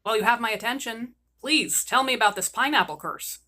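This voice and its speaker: A Filipino American woman hosting a casual chat, curious and quick to react. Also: a little high, bright, forceful tone. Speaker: host.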